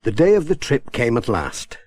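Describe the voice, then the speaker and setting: A man with a British accent narrating a children's story in a measured, expressive storytelling manner. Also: medium pitch, dry recording. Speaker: audiobook narrator.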